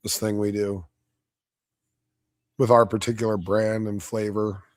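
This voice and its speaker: Man, slightly hoarse, blunt, profane, combative, casual stream commentary, dry close sound. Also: fairly low pitch, flat delivery, a little slow, dry recording. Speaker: debate reviewer streaming live.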